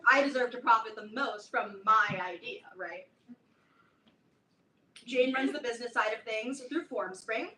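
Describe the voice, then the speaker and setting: A woman playing an imperious, high-voiced teenage club queen. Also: measured pace, pauses often, lightly acted. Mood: smug, businesslike. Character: domineering, self-important teenage girl, founder of fanfiction club. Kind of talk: staged play reading before an audience. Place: live reading in a roomy indoor space. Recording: distant echoey room, clear background noise.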